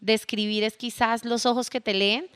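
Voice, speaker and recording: Bright-voiced moderator woman, crisp and close. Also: a little high, fairly even intonation, moderate pace, no echo. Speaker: event moderator. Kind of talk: moderating a book presentation.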